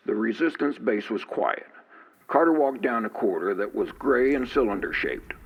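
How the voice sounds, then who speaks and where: A man giving scripted, even-toned narration for an audio drama. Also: medium pitch, moderate pace, breathy edge, dull audio.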